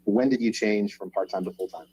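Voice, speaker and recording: A man questioning a witness in court, in measured, formal phrases. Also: on the low side, dark tone, husky, poor audio. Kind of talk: courtroom examination.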